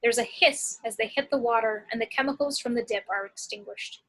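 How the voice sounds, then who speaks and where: A woman's voice: fairly high pitch, fairly quick, a bit bright, low-quality recording. A woman narrating action descriptions from a script at an amateur table read.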